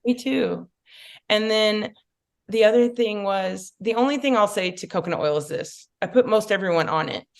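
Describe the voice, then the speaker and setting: A woman's voice: medium pitch, normal speed. A woman makeup artist, chatting casually as a podcast guest with many fillers and asides.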